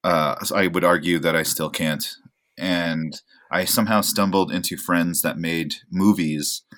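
Very deep-voiced man, relaxed and rambling. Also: unhurried. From Oregon, USA. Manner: relaxed, rambling, self-deprecating, many fillers. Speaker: actor, guest. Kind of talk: casual interview chat.

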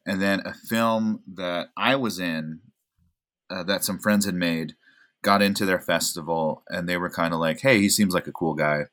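Very deep-voiced man, relaxed and rambling. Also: normal speed. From Oregon, USA. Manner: relaxed, rambling, self-deprecating, many fillers. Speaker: actor, guest. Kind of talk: casual interview chat.

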